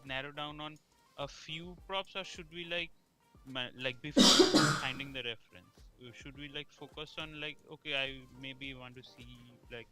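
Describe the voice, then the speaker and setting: A man on a livestream asking the teacher a question in a halting way, full of fillers. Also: mid-range voice. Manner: hesitant, halting, many fillers. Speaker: stream participant asking a question.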